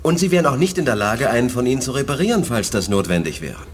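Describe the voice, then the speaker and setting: An actor playing a composed, thoughtful telepath officer with an earnest, respectful manner in a 1980s German radio play. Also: male voice, medium pitch, big pitch swings, fast talker, a little hiss or hum, lightly acted.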